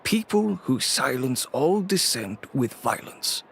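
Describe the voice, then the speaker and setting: An actor playing a weary middle-aged fashion shop owner and cautious father, speaking in a measured, reluctant way in a video game. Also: medium pitch, very animated, vocal fry, a bit bright, slight background noise, heavily acted. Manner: measured, reluctant, lightly sighing.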